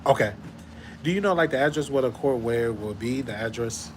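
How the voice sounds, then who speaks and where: A man hosting a casual live show, making a playful phone call in a laid-back, quietly amused way. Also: mid-range voice, expressive, fairly quick, a little hiss or hum. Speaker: host.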